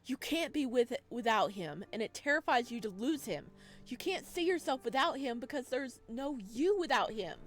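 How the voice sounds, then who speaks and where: A woman's voice: a little high, very animated, normal speed, soft background music, voice pushed up. A woman voicing a distraught, defiant imprisoned man in a visual novel, with emphatic, anguished delivery. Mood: furious, anguished. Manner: emphatic, anguished, loud outbursts.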